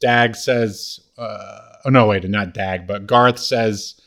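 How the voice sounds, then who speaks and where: A man co-hosting a podcast, casually recapping a TV comedy episode with halting delivery and acted-out quotes. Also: on the low side, steady tone, vocal fry, fairly bright tone. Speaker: podcast co-host.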